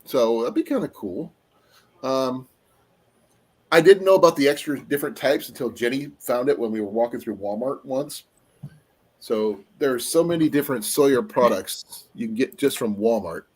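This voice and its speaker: A man hosting a casual live-stream chat, his voice going a little raspy, rambling along with fillers as he looks things up. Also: medium pitch, animated, moderate pace. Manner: rambling, trails off with fillers while looking things up. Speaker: livestream host.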